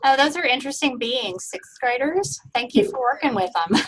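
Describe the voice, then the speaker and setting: Chatty, high-voiced woman instructor on a call. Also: animated, normal speed, fairly bright tone, low-quality recording. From Texas. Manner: friendly, chatty, laughs often. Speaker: course instructor. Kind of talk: leading an online class introduction round. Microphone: video call.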